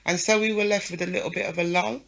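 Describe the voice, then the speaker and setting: A woman promoter talks frankly as an interview guest, often tossing in "right?" and "yeah?" to keep her listener with her. Her voice is bright and forceful. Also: lowish voice, steady tone, quick pace, vocal fry, poor audio. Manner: frank, lists names, checks in with "yeah?" and "right?". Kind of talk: casual interview talk.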